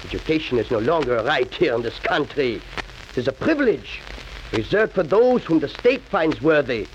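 A cold Nazi official overseeing a school in a 1940s radio drama. He speaks formally in a fairly high voice, clipped and commanding. The old recording is muffled and hissy. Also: big pitch swings, moderate pace. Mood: cold, stern.

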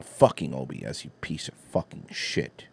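A man co-hosting a crude, casual podcast chat, loud and profane, with a lot of laughing. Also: a bass voice, sing-song, normal speed, creaky, a little hiss or hum. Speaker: podcast co-host.

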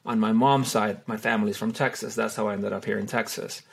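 Gravelly middle-aged man from Honduras. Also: lowish voice, steady tone, brisk. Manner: hesitant, many fillers and restarts. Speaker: wildlife photographer and tour guide. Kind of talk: relaxed interview answers.

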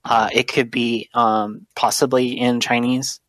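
A man's voice: medium pitch, level tone, a little slow, fairly bright tone. A man running a tabletop game as game master, narrating the scene in a loose, rambling way with many fillers.

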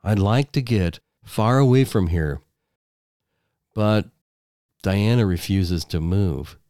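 A worn-out young husband, as the narrator voices him, flat, clipped and resigned. He talks slowly, low in the throat, in a dry, close sound. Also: dry recording. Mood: weary. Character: weary young husband, tired and fed up.

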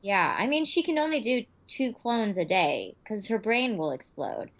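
A woman's voice: mid-range voice, lively intonation, normal speed, a bit bright. A woman, an actress, chatting casually as a podcast guest over a call, laughing easily. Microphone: remote call. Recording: dull lo-fi call audio.

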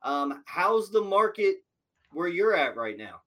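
A man's voice: high voice, slow. A man hosting a podcast interview, chatting casually and asking questions with plenty of fillers. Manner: relaxed, filler-heavy questioning. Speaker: podcast host.

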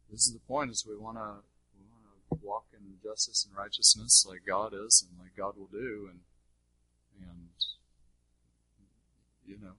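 Bright, forceful, husky man teaching. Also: fairly low pitch, no echo, rough recording. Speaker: teacher answering audience questions. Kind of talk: unscripted teaching in a question and answer session.

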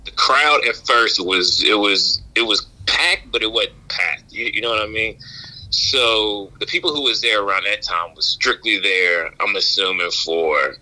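A man with a lowish but very bright, punchy voice, guesting on a podcast. He tells stories casually, circling back over phrases with slangy, wry humor. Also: rough recording. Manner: repetitive, halting, slangy, wry. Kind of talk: casual anecdotal storytelling.

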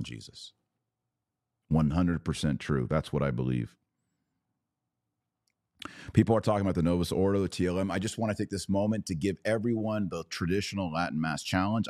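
Male podcast host, very low voice, close dry sound, earnest religious commentary, emphatic preacherly delivery. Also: a little mellow, no room sound. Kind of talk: live religious commentary to viewers, with exhortation and prayer.

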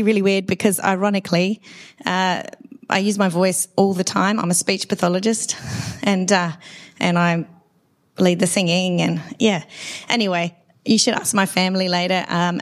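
Hoarse woman preaching conversationally. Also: fairly low pitch. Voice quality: dry voice. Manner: conversational, asks the congregation questions. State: hoarse after laryngitis. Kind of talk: sermon. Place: church service.

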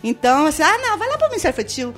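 Fairly high-voiced Brazilian woman explaining. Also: sing-song, rapid-fire, fairly bright tone, faint music behind. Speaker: podcast guest, children's ministry worker. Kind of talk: casual explanatory chat.

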